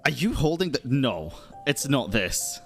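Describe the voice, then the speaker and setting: A woman's voice: very deep voice, sing-song, unhurried, over loud music. A woman streaming a game with casual, reactive and sweary commentary.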